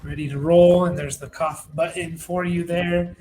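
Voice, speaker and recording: A man's voice: on the high side, fairly even intonation, normal speed, warm tone, a little hiss or hum. A man hosting a fantasy football show in a casual, conversational sports rankings style.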